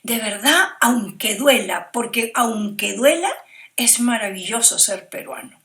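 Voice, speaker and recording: A woman's voice: a little high, expressive, measured pace, a bit bright. A woman with a Peruvian Spanish accent giving opinions as an academic interview guest, reflective and often checking for agreement. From Peru. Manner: reflective, often seeks agreement with tag questions.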